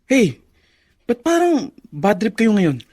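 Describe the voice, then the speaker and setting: An actor playing a cool, self-assured young adult son of a politician in a Filipino radio drama, advising with English phrases mixed in. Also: male voice, sits high, wildly swooping pitch, slow, a little mellow, exaggerated voice. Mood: breezy. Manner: mixes English phrases into his speech, advising.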